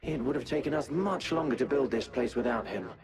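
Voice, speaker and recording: An actor playing an obsessed, embittered research scientist in a video game, earnest and intense. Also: male voice, mid-range voice, brisk, natural voice. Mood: resigned. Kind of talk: dramatic video game voice acting. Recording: loud music behind the voice.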